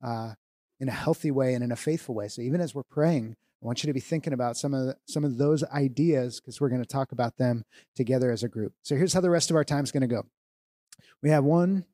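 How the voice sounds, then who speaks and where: A man leading a church family meeting, giving relaxed, joking instructions to tables of people. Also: medium pitch, fast. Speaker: church leader.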